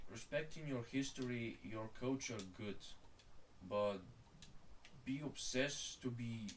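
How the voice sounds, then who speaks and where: A man's voice: mid-range voice, recorded from a distance, slight background noise. Rambling Bulgarian man, a bit off-mic. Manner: rambling, profane, calls the listener bro. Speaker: streamer. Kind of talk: casual rant while gaming.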